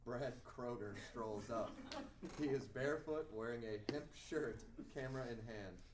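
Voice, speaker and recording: A man reads out stage directions as scripted narration. His voice is middle-pitched, picked up from some distance in an echoey room with faint noise. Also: measured pace.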